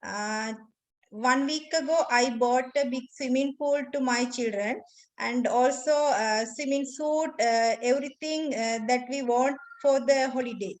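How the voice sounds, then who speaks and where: A high-voiced woman in her thirties, originally from Sri Lanka, answers test questions over a video call. She speaks hesitantly, filling gaps with pauses and fillers and keeping her phrasing simple. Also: poor audio. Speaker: exam candidate.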